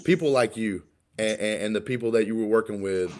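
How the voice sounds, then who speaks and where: Podcast co-host, man, late 30s, lowish voice, rambling and full of restarts. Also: fairly quick, slight background noise. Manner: rambling, repeats words, stumbles and restarts. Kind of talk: casual podcast conversation.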